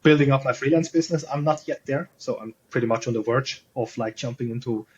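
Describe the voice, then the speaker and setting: A man's voice: mid-range voice, fast talker, dull audio, a little hiss or hum. A man from Switzerland with a Swiss German accent, a podcast guest chatting casually and rambling with lots of fillers. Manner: rambling, many "like" and "you know" fillers. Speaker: podcast guest, SEO freelancer.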